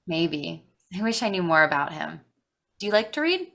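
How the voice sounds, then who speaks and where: Woman playing an animated, bright-voiced teenage girl. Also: on the low side, normal speed, creaky, heavily acted. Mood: earnest, curious. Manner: eager, earnest. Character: eager, bright, bookish teenage granddaughter. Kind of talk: staged play reading.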